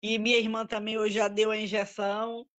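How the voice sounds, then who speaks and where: A woman's voice: mid-range voice, little pitch movement, a little fast, a bit bright. Worried, rambling woman on a video call. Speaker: group participant. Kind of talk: casual chat on a video call. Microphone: video call, audio only.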